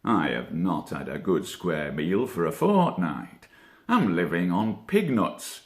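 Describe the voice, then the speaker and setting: Man playing a grumbling fat badger, very animated. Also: sits low, slow pace, heavily acted. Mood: grumbling complaint. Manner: complaining, theatrical. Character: grumbling, self-pitying fat old male badger. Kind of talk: storybook character voice.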